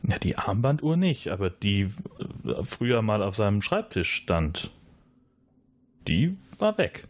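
Guy as cornered suspect, husky lowish voice, lively pitch, halting and defensive, rpg session. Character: cornered, evasive male suspect, insolent when provoked. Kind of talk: improvised role-play.

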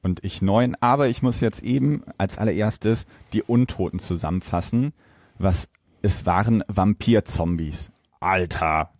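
A man with a fairly deep, dark voice talks as a podcast co-host. The sound is dry and close. His delivery is informal and opinionated. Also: a little slow, dry recording. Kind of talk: casual podcast discussion.

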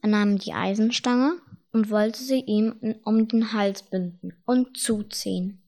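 A woman's voice: mid-range voice, steady tone, unhurried, warm tone. A woman reading scripted fairy-tale narration for a radio play.

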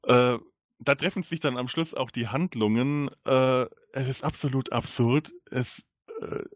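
A man's voice: mid-range voice, measured pace, dry recording, clean studio sound. A man co-hosting a podcast, casually retelling a story with enthusiasm and searching for words as he goes. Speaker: podcast co-host. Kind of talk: casual chat retelling a TV episode.

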